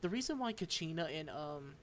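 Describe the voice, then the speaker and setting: Guy doing a game playthrough, kinda high voice, reads lines out and trips on words, faint noise in back. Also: expressive. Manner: halting, stumbles over unfamiliar names. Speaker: game streamer. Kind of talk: playthrough commentary, reading game dialogue aloud.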